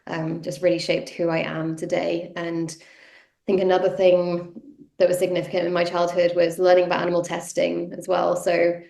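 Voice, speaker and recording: A woman's voice: fairly low pitch, completely flat delivery, moderate pace, creaky, a little mellow. A woman, giving a casual personal talk while sniffly from a cold, with many ums and yeahs. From North Yorkshire, England. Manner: conversational, many fillers like um and yeah. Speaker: guest speaker, activist. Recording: roomy echo.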